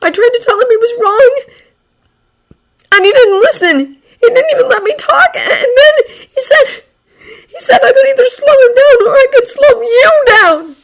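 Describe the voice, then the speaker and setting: A woman voicing a betrayed teenage boy who is crying, with a hoarse, rasping voice. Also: extremely high pitch, moderate pace, few pauses, fairly bright tone, pitched above the performer's own voice. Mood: sobbing, bitter.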